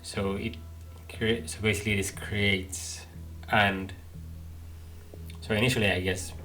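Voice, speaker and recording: A man with a fairly low voice commentates on a video game. He talks very slowly and haltingly, thinking aloud, with faint game music behind him. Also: flat delivery, sharp tone, low-quality recording. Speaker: gaming playthrough host. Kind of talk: thoughtful live game commentary.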